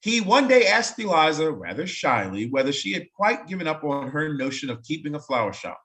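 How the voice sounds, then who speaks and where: Animated, higher-pitched man reading a story aloud. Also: fairly quick. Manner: expressive, wry, breaking off to comment. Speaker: narrator in a staged reading. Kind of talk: dramatic reading aloud with casual asides.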